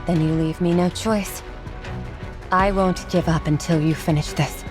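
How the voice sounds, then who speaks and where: An actress playing a resolute, remorseful swordswoman in a dramatic video game, speaking in a measured, theatrical way. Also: fairly low pitch, steady tone, lightly acted. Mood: grave resolve. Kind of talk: dramatic anime game voice acting. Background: music behind the voice.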